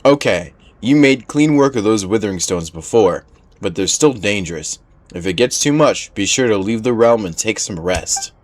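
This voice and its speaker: A man's voice: lowish voice, lively intonation, normal speed, lightly acted. A man reading game dialogue as a caring, worried young patrol woman, earnest and polite. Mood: earnest, concerned.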